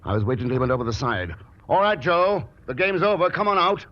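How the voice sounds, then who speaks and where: An actor playing a resolute adventurer, wry and unflappable, in an old-time radio drama. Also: male voice, a little high, very animated, quick pace. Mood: commanding. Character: cool, resolute male adventurer hero, wry. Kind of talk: 1940s radio drama acting. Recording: muffled, noisy old radio recording.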